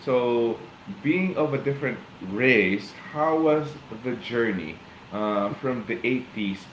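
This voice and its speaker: A man with a Filipino accent hosting a live online interview, speaking casually and haltingly with many fillers and restarts. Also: medium pitch, animated, dull audio, slight background noise. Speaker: host of a live online show. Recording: roomy echo.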